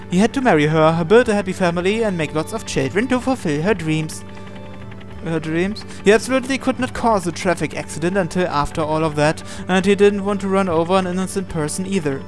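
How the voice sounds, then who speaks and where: A man reading visual novel narration aloud, sometimes stumbling over and repeating words as he goes. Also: high-pitched. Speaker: video game player reading aloud.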